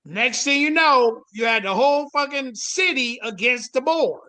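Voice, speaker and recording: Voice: sits high, expressive, moderate pace, sharp tone. A panel host speaking casually and emphatically, with plenty of profanity and street slang. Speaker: host moderating a panel call.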